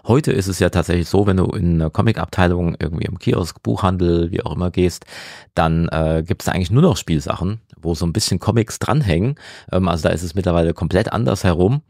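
A man hosting a conversational interview, explaining things hesitantly with many filled pauses. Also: deep voice, gravelly, darkish tone, no echo, clean studio sound. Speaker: interviewer, podcast host.

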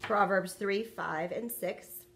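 A woman's voice: medium pitch, slow, gravelly, a bit bright, slight background noise. A middle-aged woman co-hosting a casual devotional talk and reading scripture in a conversational, affirming manner. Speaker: co-host and scripture reader. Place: furnished room at home.

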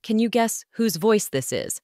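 A woman's voice: mid-range voice, lively intonation, a little slow, dark tone. Lady reading quiz questions, upbeat, bouncy tone, noisy track. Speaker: quiz host. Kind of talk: scripted quiz narration.

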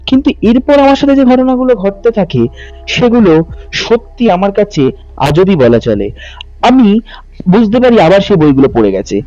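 A man's voice: high voice, sing-song, normal speed, mellow, no echo. A man narrating a horror story as a channel host. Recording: muffled lo-fi audio with music behind. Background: music bed.

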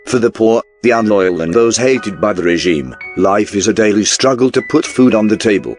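Male documentary narrator, lowish pitch, scripted newsreel style, formal, measured, faint background music.

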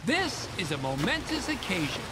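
A woman's voice: mid-range voice, moderate pace, very noisy, close to an everyday voice. A woman playing a gracious, formal ambassador in a video game, speaking ceremoniously. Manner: ceremonious, lively. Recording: reverberant hall, loud music underneath.

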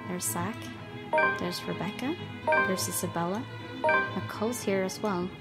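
A woman's voice: medium pitch, takes it slowly. Woman reading a game aloud over music. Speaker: gaming video host. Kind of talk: let's play, reading visual novel narration aloud with casual asides.

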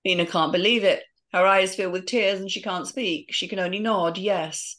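A woman's voice: medium pitch, steady tone, normal speed, a bit bright. A woman steadily reading the scene directions of a screenplay in a matter-of-fact way. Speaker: narrator reading stage directions.

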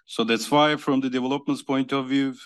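A middle-aged man from Turkey with a Turkish accent, giving a semi-formal conference speech as a panelist in a halting, hesitant way with frequent pauses. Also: mid-range voice, steady tone, clear, resonant tone. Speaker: conference panelist, company representative. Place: conference room, seated at long panel table.

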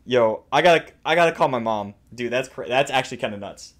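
A man's voice: mid-range voice, expressive, a little fast, background noise. A young man giving casual, exaggerated, jokey reaction commentary with plenty of swearing, indoors at home. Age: young adult. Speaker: video creator reacting to game voice lines. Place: small indoor room at home.

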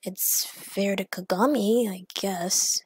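A woman voicing an anxious young man who questions himself in a hesitant, halting way. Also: medium pitch, darkish tone, husky. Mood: uneasy, deliberating.

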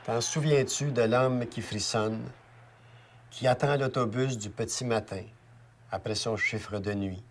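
A man with a Québécois accent reciting a poem deliberately, as if speaking directly to someone. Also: medium pitch, steady tone, a little hiss or hum. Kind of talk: spoken poetry recital.

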